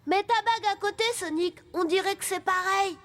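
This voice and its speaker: Very high, piercing, childlike animal sidekick for cartoon dub, earnest and plaintive. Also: female voice, normal speed, a bit bright, soft background music. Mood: excited.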